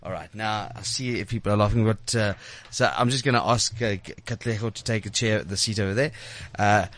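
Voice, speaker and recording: A man's voice: on the low side, brisk, fairly bright tone. A man hosting a casual studio interview, chatty and teasing, often repeating words and restarting his sentences as he goes. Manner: chatty, teasing banter with guests. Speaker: radio show host. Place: radio studio. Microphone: studio microphone.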